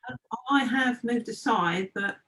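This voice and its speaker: A woman's voice: on the high side, measured pace, a bit bright, low-quality recording. A woman talking as a guest in an interview, chatty and earnest, rambling from one thought to the next. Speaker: guest, trance medium. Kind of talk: casual interview chat.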